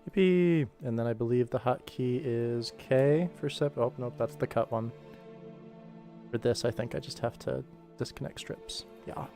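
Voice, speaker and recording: A man's voice: medium pitch, normal speed, dark tone, soft background music. An American man chatting casually on a livestream as he works, sometimes talking half to himself. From United States. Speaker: livestreamer and animator. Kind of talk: casual livestream chat while working.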